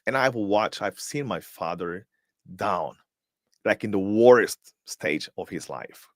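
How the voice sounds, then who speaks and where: Emphatic low-voiced man, lively delivery. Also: takes it slowly, no echo, clean studio sound. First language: Portuguese. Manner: emphatic, fires rhetorical questions, repeats words while searching. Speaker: motivational speaker and host. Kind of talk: live-streamed motivational talk.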